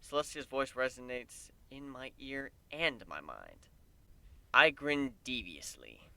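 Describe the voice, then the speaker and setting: A man's voice: medium pitch, sing-song, slow, vocal fry, bright, forceful tone. A man giving a dramatic first-person reading of a story as its narrator.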